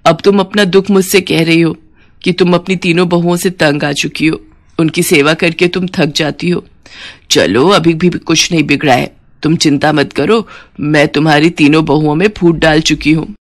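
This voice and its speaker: A woman's voice: low-pitched, fairly even intonation, moderate pace, lightly acted. A woman voicing a quarrelsome, gossiping older aunt in a cartoon story, nosy and insinuating. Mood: gloating.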